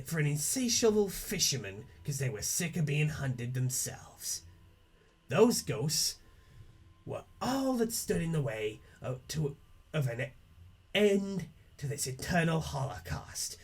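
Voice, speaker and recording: A man's voice: a little high, slightly rough, full character voice. A man playing a defiant young woman in a theatrical, earnest, foul-mouthed monologue. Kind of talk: dramatic reading of a webcomic script.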